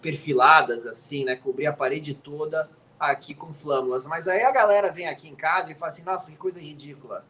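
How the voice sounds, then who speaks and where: A man's voice: a little high, fairly quick, few pauses, bright, forceful tone, slight background noise. A man who grew up in the United States, an NFL commentator chatting as a guest from his home studio, teasing and tagging his sentences with little questions. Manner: chatty and teasing, tags lines with "né?". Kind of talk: casual chat.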